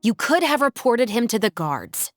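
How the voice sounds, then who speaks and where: A woman's voice: on the high side, sing-song, moderate pace, faint music behind. A woman playing a prim, officious patrol officer in a video game, formal and dryly reproving. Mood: wry, chiding. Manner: formal, scolding, wry. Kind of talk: video game character acting.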